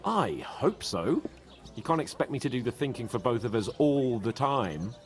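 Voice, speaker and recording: An actor playing a pompous, excitable young nobleman in a video game, theatrical and quick to indignation. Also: mid-range voice, soft background music. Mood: sarcastic. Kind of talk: dramatic video game dialogue.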